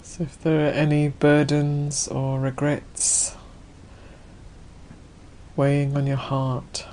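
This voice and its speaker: A woman leading a guided meditation as a Buddhist nun, speaking gently in unhurried, spacious phrases. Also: sits low, monotone, a little hiss or hum.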